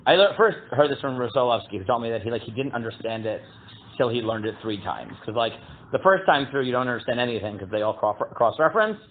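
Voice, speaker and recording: A man leads a casual Torah class in a booming voice. He halts and restarts often, reading Hebrew text aloud before translating it. The sound is thin and tinny, like a phone line. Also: big pitch swings, slight background noise. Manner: halting, many fillers and restarts. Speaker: rabbi teaching a class.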